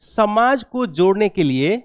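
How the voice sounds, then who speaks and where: A man's voice: sits high, animated, a little slow, smooth, no echo, clean studio sound. A man teaching history for exam coaching, lecturing didactically, repeating key phrases and asking rhetorical check questions. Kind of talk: classroom lecture with dictated answer points.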